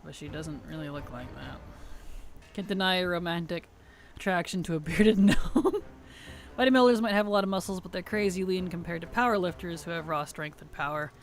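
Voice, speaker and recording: A woman's voice: on the low side, fairly quick. Playful woman streamer with a tired voice. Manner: playful, teasing, chuckles often. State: tired voice starting to weaken and turn gravelly. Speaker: game streamer. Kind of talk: casual livestream chat over gameplay.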